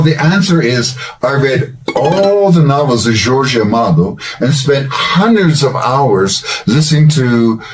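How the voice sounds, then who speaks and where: An older man hosting a language-learning channel, giving scripted advice in a measured, earnest and encouraging way. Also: mid-range voice, a little hiss or hum, rough recording.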